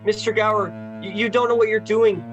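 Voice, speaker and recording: A man's voice: high-pitched, level tone, brisk, lo-fi sound, soft background music, lightly acted. A man playing a frightened, polite young boy in a radio play, stammering and pleading. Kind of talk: 1940s-style radio play acting.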